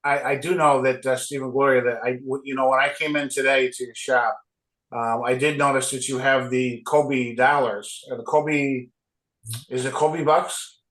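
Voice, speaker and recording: A man co-hosting a community interview, speaking hesitantly with many pauses and restarts. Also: medium pitch, steady tone, a bit bright, poor audio. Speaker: co-host.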